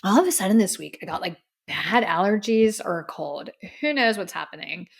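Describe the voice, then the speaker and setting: Slightly hoarse woman, gravelly podcast host. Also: mid-range voice, expressive, fairly quick. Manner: earnest, effusive, rambling. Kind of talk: solo podcast sign-off. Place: in front of a camera. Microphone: studio microphone.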